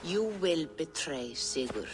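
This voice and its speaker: A woman playing a calm, solemn seeress in a video game, speaking in a grave and measured way. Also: low voice. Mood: grave, ominous. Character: calm, solemn seeress reading fateful visions. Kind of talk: dramatic video game voice acting. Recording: music under the voice.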